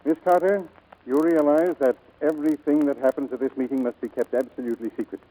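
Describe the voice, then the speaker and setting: A man playing a commanding, formal US Navy admiral in a 1940s radio drama, speaking gravely and deliberately. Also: mid-range voice, steady tone, dark tone, lightly acted. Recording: muffled vintage 1940s radio recording.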